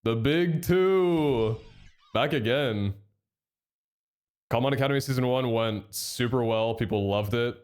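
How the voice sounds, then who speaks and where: A man's voice: medium pitch, measured pace, pauses often, clean tone, faint music behind. Young guy streaming, bit stuffy and nasal from a cold, chatty and hyped. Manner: chatty and excitable, reads out chat and thanks subs. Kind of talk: casual live-stream chat.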